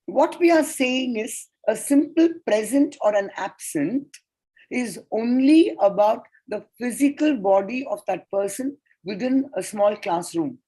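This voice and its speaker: A woman whose first language is Tamil, presenting an academic paper at an online conference in a measured, deliberate way. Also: medium pitch, dark tone, breathy edge, rough recording. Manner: measured, deliberate lecturing with enumerated points.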